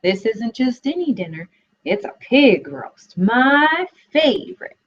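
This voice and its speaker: A woman voicing a hungry, scheming male fox in a storybook read-aloud, speaking slowly in a drawn-out, gloating way. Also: on the high side, sing-song, husky, pitched above the performer's own voice, heavily acted. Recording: dull lo-fi webcam audio.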